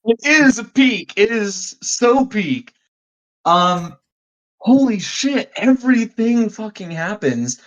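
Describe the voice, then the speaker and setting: Man, slightly high voice, muffled lo-fi audio, casual podcast chat, exclamatory, profane. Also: very animated, slow pace, fairly bright tone. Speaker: podcast panelist. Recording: dull lo-fi microphone sound.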